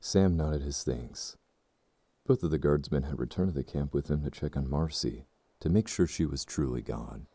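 Male narrator, extremely deep, husky, measured, somber, dry recording. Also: dark tone, no room sound. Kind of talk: audiobook narration.

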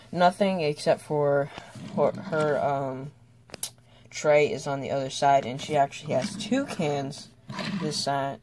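A young student giving a casual, unscripted toy car review in a hesitant, halting way with trailing thoughts. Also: mid-range voice, noisy background.

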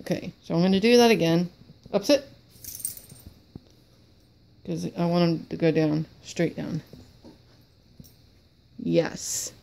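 A woman's voice: on the low side, slow pace, vocal fry, long pauses, mellow. A woman who sniffles as she talks, chatting apologetically and rambling while training her service dog at home. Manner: apologetic, rambling, repeats words. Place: cluttered room at home with wood floor.

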